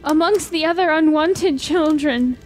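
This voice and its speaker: A woman playing a gentle, troubled girl in a fantasy radio drama, speaking in an earnest, plaintive way. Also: high-pitched, a little slow, a little hiss or hum, rough recording. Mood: wistful, sad. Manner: earnest, plaintive, simple formal phrasing. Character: gentle, troubled girl, an unwanted only child's sister.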